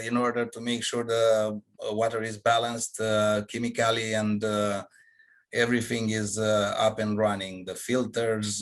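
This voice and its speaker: A middle-aged man from Romania, a plant operations manager speaking haltingly with many fillers on a video call from a small office. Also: fairly low pitch.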